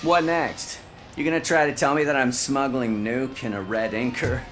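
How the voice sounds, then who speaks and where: An actor playing a surly, mocking tattoo artist in a video game, with a sarcastic, defiant manner. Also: male voice, medium pitch, steady tone, moderate pace, fairly bright tone, soft background music, close to an everyday voice. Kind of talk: video game dialogue acting.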